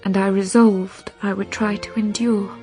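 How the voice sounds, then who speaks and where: Creaky-voiced sorrowful young wife played by a woman. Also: medium pitch, little pitch movement, mellow, soft background music, close to an everyday voice. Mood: sorrowful, restrained. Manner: measured, halting, confiding. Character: sorrowful, innocent young wife recounting her suffering. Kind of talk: dramatic first-person narration in radio drama.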